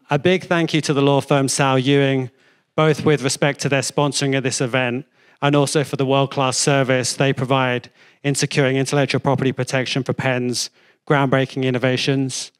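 A man's voice: mid-range voice, smooth. A middle-aged man reading a formal scripted introduction as an event host into a handheld microphone. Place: lecture hall. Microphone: handheld microphone at a podium.

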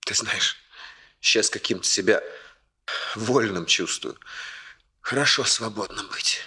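An actor playing an earnest, impulsive, lovelorn young bridegroom with a husky voice and halting, intense phrases in a 1960s radio drama. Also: male voice, fairly low pitch, sharp tone, exaggerated voice. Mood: yearning, earnest.

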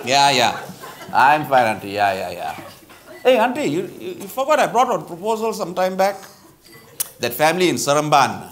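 An actor with a Malaysian accent playing a chatty, tactless middle-aged lawyer in a live stage comedy, blunt and colloquial. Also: male voice, fairly high pitch, normal speed, a little hiss or hum. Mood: teasing, gossipy. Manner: animated, blunt, colloquial with particles like lah. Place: theatre stage with bar set, live audience. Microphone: lapel mic.

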